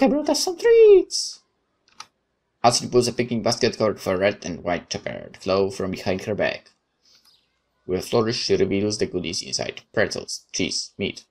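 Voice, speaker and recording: A man reading a visual novel aloud as narration, dropping in casual asides of his own. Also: on the low side.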